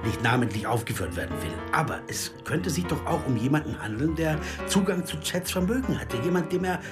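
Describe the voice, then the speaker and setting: An actor playing an insistent, searching amateur sleuth in a German radio crime drama, who also narrates. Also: male voice, a little high, big pitch swings, fairly quick. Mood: earnest. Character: amateur sleuth Evan Horn, American man, insistent, searching. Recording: music behind.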